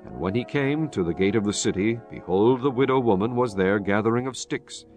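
Low-voiced man narrating scripture. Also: faint music behind. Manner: solemn, measured storytelling.

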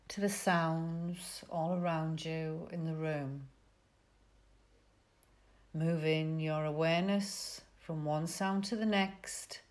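Very slow, low-pitched woman guiding relaxation. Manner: soothing, unhurried instruction with pauses. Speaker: yoga nidra teacher. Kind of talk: guided relaxation meditation.

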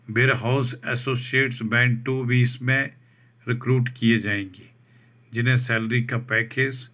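A man reads out a job advertisement over a document, slowly restating each English term in Hindi. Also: medium pitch, bright, forceful tone. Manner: slow, deliberate, restates each point in Hindi after English terms. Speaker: job vacancy channel host.

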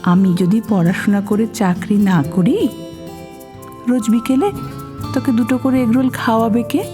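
Woman acting a high-voiced, sensible young student, reverberant. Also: fairly even intonation, moderate pace, lightly acted. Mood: tender teasing. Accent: Kolkata Bengali. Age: young adult. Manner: questioning, mock-stern, affectionate. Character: sensible, caring, gently scolding young female student. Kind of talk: romantic audio drama acting. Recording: long reverb, music bed.

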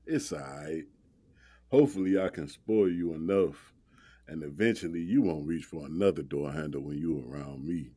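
Actor as big, smooth-talking young American man on a date, dark husky tone, attentive, audio drama. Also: a bass voice, moderate pace. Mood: smooth, teasing.

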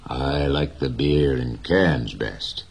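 A man voicing a poor but proud, gentle old fisherman who speaks plainly and slowly. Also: very deep voice, breathy edge, full character voice. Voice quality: slow, plain, dignified speech.

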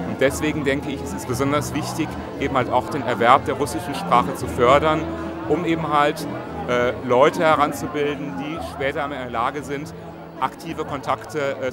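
A middle-aged man earnestly giving an interview statement, with some hesitant fillers. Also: mid-range voice. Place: busy hall.

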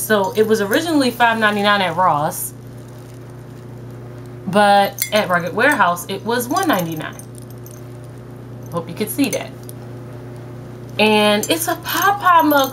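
A woman's voice: mid-range voice, fairly bright tone. A young woman talks straight to her webcam from her bedroom, showing viewers what she bought. Her delivery is chatty and upbeat, with a friendly, mid-range voice. A faint hiss of background noise sits under the recording. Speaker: haul vlogger. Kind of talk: casual shopping haul chat. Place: bedroom, indoors. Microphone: webcam at desk distance.

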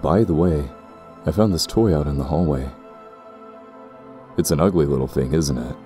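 A man's voice: very deep voice, moderate pace, warm tone, faint music behind. A man playing a cold, condescending and ruthless warrior clansman in an audio roleplay, speaking in a haughty, formal way. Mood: mock-polite menace. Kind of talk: scripted audio roleplay acting.

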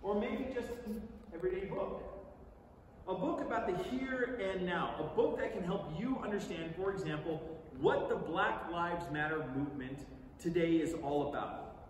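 A distant recording in a big, very reverberant theatre carries an American man in middle age, reading aloud from the stage. His voice sits fairly high, and he performs the text conversationally, leaning on key words and pausing for effect. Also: slight background noise. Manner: conversational, deliberate, theatrical delivery with emphatic pauses. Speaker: stage reader performing a book. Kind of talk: dramatic staged reading to an audience. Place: large theatre stage, indoors. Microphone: far audience camera.

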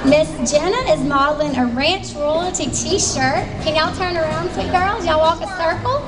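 A woman's voice: high voice, normal speed. A woman with a Southern US accent announcing a live fashion show at an expo, talking in a chatty, folksy sales patter with plenty of fillers. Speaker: fashion show emcee and booth owner. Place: large expo hall stage. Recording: distant voice over loud music in a hall.

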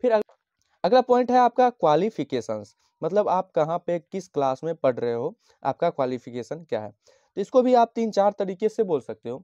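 A man from Bihar teaching a lesson, explaining slowly and patiently and repeating each phrase as he dictates sample lines. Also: high voice, sing-song, warm tone, no room sound. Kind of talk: handwritten English lesson explained in Hindi. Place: at a desk, writing on a notebook page. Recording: dull, lo-fi dry sound.

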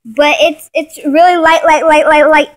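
A woman's voice: high-pitched, steady tone, moderate pace. A young woman chatting casually and playfully while filming a home video on her phone. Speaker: person filming and commenting. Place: living room at home, indoors. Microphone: phone held by the speaker.

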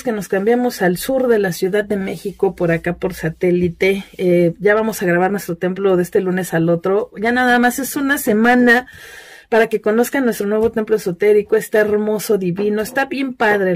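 A Mexican woman chats her way through a spell tutorial, rattling off lists of promises. Her voice sits at an ordinary middle pitch, recorded close in a small room. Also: normal speed. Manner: chatty, list-like, selling her services. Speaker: self-styled witch giving spell tutorials. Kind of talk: casual spoken tutorial and self-promotion. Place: indoors at a cluttered altar table.

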